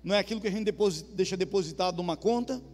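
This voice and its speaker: High-voiced southern Brazilian pastor. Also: male voice, very fast. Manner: conversational, asks the congregation questions. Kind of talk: sermon. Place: church hall. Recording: reverberant church hall with soft music.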